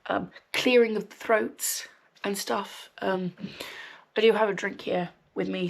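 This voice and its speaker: Brummie-ish young woman rambling on her podcast, croaky voice, bit nasal from a cold, lots of ums. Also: lowish voice, a bit bright. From West Midlands, England. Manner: chatty, frequent ums, dry swearing asides. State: recovering from a cold, slightly nasal and wheezy. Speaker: podcast host. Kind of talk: casual rambling chat.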